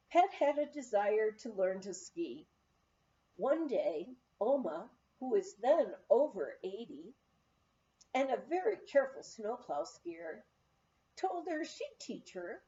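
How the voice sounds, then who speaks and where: A woman reading a memoir aloud in an expressive storytelling manner, on a slightly dull recording. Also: on the high side. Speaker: audiobook reader.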